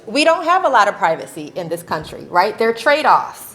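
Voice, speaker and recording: Animated woman speaking on a panel. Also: mid-range voice, a little fast. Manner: animated, laughs often, ends points with "right?". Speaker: faith-based advocacy panelist. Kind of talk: conversational panel talk. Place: convention session room.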